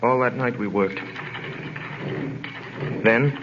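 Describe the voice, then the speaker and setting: A man's voice: mid-range voice, noisy background, lightly acted. Man acting an earnest prisoner, muffled 1930s radio. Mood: solemn, reflective. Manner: grave, dramatic, deliberate pauses. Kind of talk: 1930s radio drama acting, first-person narration. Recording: muffled vintage 1930s radio recording with music.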